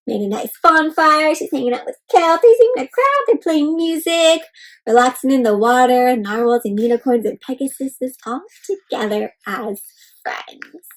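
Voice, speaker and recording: Female kids' storybook host, high-pitched, animated, interactive delivery aimed at little listeners. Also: normal speed, rough recording. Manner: animated, asks listeners questions, addresses them as friends. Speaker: children's read-aloud host. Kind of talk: interactive picture book read-aloud for young children.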